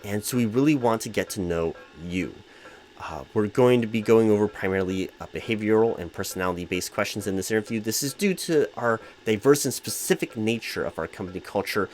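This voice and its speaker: A man's voice: on the low side, fairly quick, darkish tone, slight background noise, natural voice. A man playing a distracted, flustered corporate hiring manager in a comedic roleplay, speaking in wordy, stammering office formality. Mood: formal, polite. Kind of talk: comedic one-sided ASMR-style roleplay, spoken aloud.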